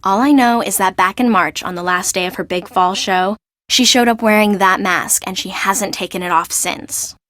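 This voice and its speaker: A woman's voice: medium pitch, moderate pace, a bit bright, close to an everyday voice. A woman playing a chatty young fashion assistant in a video game, confiding and gossipy.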